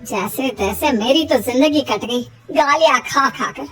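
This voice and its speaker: Cartoon mother-in-law, woman performer: older, gentle, downtrodden, high register, music underneath. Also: unhurried, husky, recorded from a distance, heavily acted. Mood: pleading. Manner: pleading, motherly.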